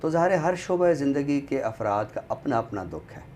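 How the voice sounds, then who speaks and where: A man with a Pakistani accent, speaking as an expert guest on a literary talk show in a measured, explanatory way. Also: medium pitch, animated, darkish tone, a little hiss or hum. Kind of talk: conversational commentary on a talk show.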